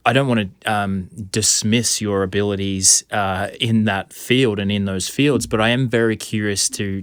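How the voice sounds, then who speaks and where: Man hosting a podcast, low voice. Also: smooth, dry recording, clean studio sound. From Australia. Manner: encouraging, frequent short agreements. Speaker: podcast host. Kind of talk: interview questions.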